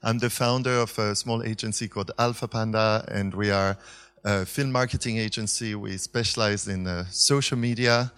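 A man's voice: on the low side, fairly even intonation, normal speed, a bit bright, smooth. A man from Switzerland informally moderating a live panel, speaking in a hesitant, hedging way. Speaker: panel moderator, marketing agency founder. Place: talk in front of an audience.

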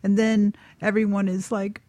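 A woman's voice: mid-range voice, little pitch movement, normal speed, dark tone, dry recording. A woman speaking earnestly and emphatically in a casual radio discussion, repeating phrases for emphasis.

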